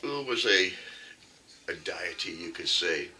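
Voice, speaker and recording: A man's voice: low voice, lively intonation, slow pace, pushed and bright, far from the mic, slight background noise, low-quality recording. A man with a Canadian accent giving a casual, rambling archery talk on his own channel. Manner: rambling, folksy, with frequent fillers. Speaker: archery vlogger.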